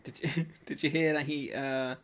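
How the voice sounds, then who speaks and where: A man's voice: on the high side, fairly even intonation, normal speed, fairly bright tone. A man co-hosting a podcast, chatting in an easygoing way and laughing often. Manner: giggly, easygoing. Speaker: podcast co-host. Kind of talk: casual comedic chat.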